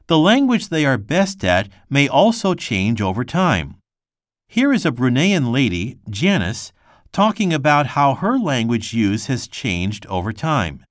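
A man reading a textbook passage aloud in a measured, scripted way. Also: medium pitch, sing-song, dry recording, studio quality. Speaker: textbook reader.

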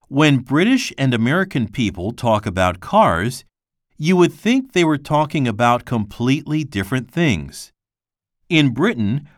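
A man's voice: mid-range voice, no room sound, studio quality. Man reading a textbook passage aloud. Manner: slow, careful reading with clear pauses. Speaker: textbook reader.

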